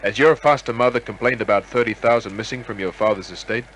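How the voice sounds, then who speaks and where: Bright-voiced hard-boiled radio private eye. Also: male voice, fairly low pitch, fairly even intonation, fast talker, slight background noise, rough recording. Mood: coolly probing. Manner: wry, clipped tough-guy delivery. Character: wisecracking hard-boiled male private detective, cool under pressure. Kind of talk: old-time radio crime drama acting and narration.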